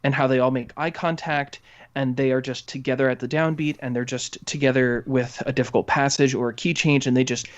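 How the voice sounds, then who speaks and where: A young man with a medium-pitched voice talks casually about music as a podcast co-host. His manner is enthusiastic and informal. The recording is a little dull and muffled. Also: fairly even intonation, brisk.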